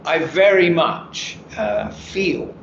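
A man in his seventies, a retired official, answering interview questions in a measured way that hedges and qualifies each point. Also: a little high, expressive, creaky, reverberant, dull audio, slight background noise. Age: 70s. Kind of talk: studio interview conversation. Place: green-screen TV studio, seated.

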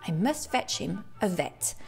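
A woman plays a grumpy little boy who wants to be left alone in a children's storybook. The delivery is slow and emphatic, swinging widely in pitch, with music behind it. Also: big pitch swings, vocal fry, darkish tone, full character voice. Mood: dismayed, determined. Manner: emphatic, declaiming. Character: grumpy, solitary little boy, stubborn and exasperated.

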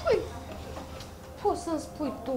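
A woman's voice: very high voice, very animated, a little mellow, faint music behind. An actress playing a blunt middle-aged working mother who scolds and questions her daughter. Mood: scolding.

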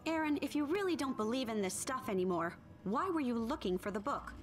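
A woman playing a brash, enthusiastic ghost hunter in a comedic game cutscene, confident and excitable. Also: a little high, brisk, close to an everyday voice. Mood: brisk. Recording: loud music under the dialogue. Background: loud music.

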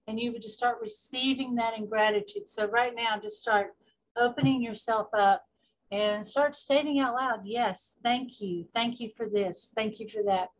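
A woman guiding a meditation as a spiritual coach, speaking deliberately in short phrases with pauses and repetition. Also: fairly high pitch, steady tone, poor audio. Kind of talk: guided meditation with spoken affirmations.

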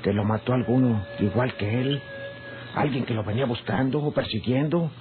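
Male actor, cold scheming gunman, Mexican accent, rustic, deferential, mid-pitched, vintage tinny radio drama. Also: moderate pace, natural voice. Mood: callous, scheming. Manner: rustic rural Mexican speech, respectful. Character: cold-blooded scheming hired gunman plotting murder, deferential. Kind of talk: old radio drama acting. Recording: noisy, tinny old radio drama recording.

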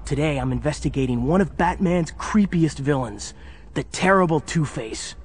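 An actor playing an earnest, youthful superhero sidekick, narrating a children's audio drama. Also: male voice, on the high side, animated, moderate pace, lightly acted. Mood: earnest storytelling. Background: music.

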